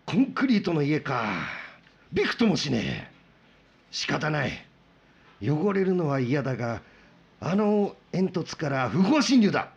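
A man playing a hungry, boastful, blustering wolf with rough, cocky speech in a children's radio drama. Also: on the high side, big pitch swings, measured pace, long pauses, highs cut off, faint music behind, full character voice. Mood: baffled, frustrated.

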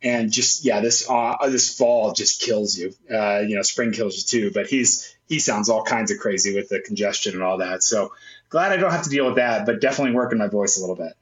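A man's voice: lowish voice, brisk, echoey room, highs cut off. A man from Memphis co-hosting a sports podcast, talking casually in a nasal voice. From Memphis, USA. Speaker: co-host.

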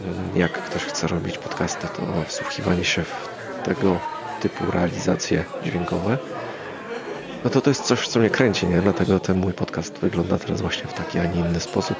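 Male podcast host, deep voice with vocal fry, loose rambling chat, street-like murmur around him. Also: steady tone, moderate pace, a loud crowd. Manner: hesitant, rambling, thinking aloud. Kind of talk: casual solo podcast chat.

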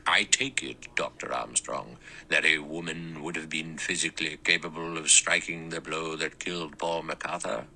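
A man voicing an elderly male judge with a small, clear, thin and sour voice, speaking slowly and measured. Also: a bass voice, fairly even intonation, bright, forceful tone, full character voice, poor audio. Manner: slow, measured, dry.

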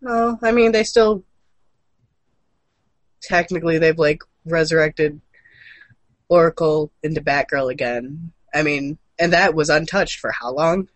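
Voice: medium pitch, unhurried, long pauses, no room sound. A co-host chatting casually and informally, with a wry, joking way of talking. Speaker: co-host of a gaming and comics news show. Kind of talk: casual chat about comics, films and cartoons.

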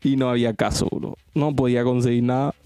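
A man's voice: mid-range voice, level tone, brisk, mellow, smooth, dry recording. A man hosting a casual podcast chat with friends, telling a long story, repeating himself and using slang. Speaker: podcast host.

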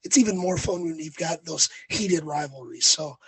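A young man co-hosting a sports podcast, talking casually with a hoarse, raspy voice after being sick, rambling and correcting himself. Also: fairly high pitch, normal speed, no room sound. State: hoarse, raspy from recent illness. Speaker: podcast co-host.

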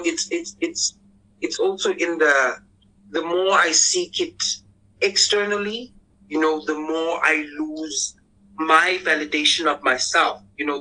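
A man with a squeaky voice, hosting a casual podcast panel in an earnest, reflective way and often repeating words as he starts. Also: pushed and bright, rough recording.

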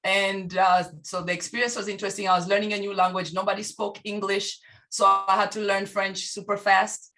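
A woman from Tanzania, a guest on a video call, telling her life story in an animated way and laughing often. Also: mid-range voice, a little fast. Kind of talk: casual conversation.

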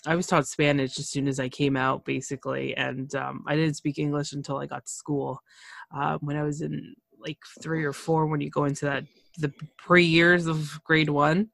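A woman from Canada hosting a casual interview podcast, chatty and quick to exclaim. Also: sits low, little pitch movement, creaky, a bit bright, no room sound. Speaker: podcast host.

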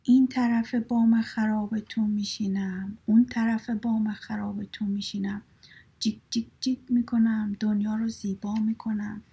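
A woman voicing a cheeky, noisy little sparrow that sings its rhymes in a sing-song chant, in a breathy, husky voice. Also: medium pitch, level tone, warm tone, lightly acted. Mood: playful sing-song. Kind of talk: storybook reading.

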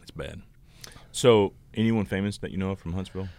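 A man's voice: deep voice, a little fast, darkish tone. A man co-hosting a casual podcast, teasing his co-host with playful questions. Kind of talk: casual banter.

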